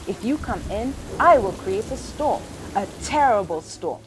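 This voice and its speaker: A mysterious, menacing creature played by a woman from a children's storybook. It chants slow, boastful threats with an animated, swinging pitch over clear background music. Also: natural voice, low-quality recording.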